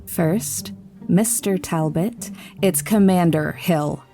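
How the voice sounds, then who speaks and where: A woman's voice: fairly low pitch, takes it slowly, vocal fry, mellow, faint music behind. An actress playing a stern, commanding female military commander with a clipped, no-nonsense manner in an audio drama.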